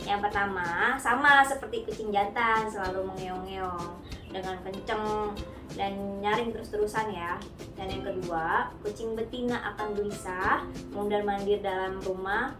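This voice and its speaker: A woman's voice: medium pitch, normal speed. A young woman chatting casually to viewers, sharing tips about caring for her cat, mixing in English words and often calling the audience guys. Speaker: cat owner sharing pet care tips. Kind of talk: casual vlog talk to viewers. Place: home studio. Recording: echoey room, heard from a distance, music behind.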